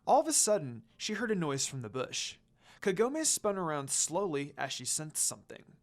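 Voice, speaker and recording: A woman reading fan fiction aloud as an expressive storyteller. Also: low voice, moderate pace.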